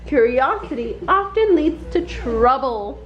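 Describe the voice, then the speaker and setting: A woman's voice: sits high, animated, unhurried. A woman voicing a curious, dreamy, eager young girl in a children's storybook. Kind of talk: children's storybook character reading. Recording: dull, roomy, faint background noise.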